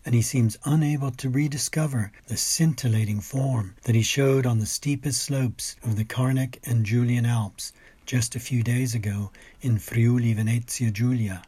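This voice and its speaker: A man's voice: mid-range voice, normal speed, dark tone, breathy edge. A man reading a scripted sports report in a literary, dramatic storytelling manner. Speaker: food, wine and travel writer. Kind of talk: scripted narration of cycling race report.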